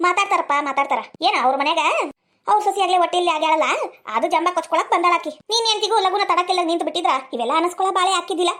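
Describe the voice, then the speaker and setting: A woman's voice: very high voice, very fast, fairly bright tone. A woman playing a wounded, bitterly resigned young wife in a family drama skit, plaintive, with a North Karnataka accent. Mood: hurt, resigned. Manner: plaintive, aggrieved.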